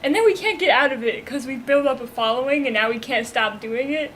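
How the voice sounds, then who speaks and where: A woman's voice: high-pitched, fairly quick, a bit bright, a little hiss or hum. A teenage girl guest in a casual chat, telling stories full of "like". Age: teens. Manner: storytelling with frequent "like".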